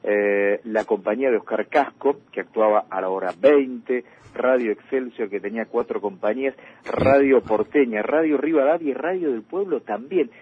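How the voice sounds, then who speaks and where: A man's voice: mid-range voice, moderate pace, breathy edge, narrow, tinny audio. A man with a Rioplatense Argentine accent hosting a radio interview about past programming. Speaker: radio host. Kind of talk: radio interview talk, reading out old programme listings.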